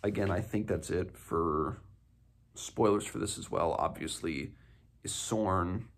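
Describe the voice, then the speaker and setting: A man in his twenties giving a casual, unscripted book discussion, halting and thinking aloud as he goes. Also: deep voice, creaky. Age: 20s. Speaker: book reviewer, host of a read-along. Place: small home room, indoors.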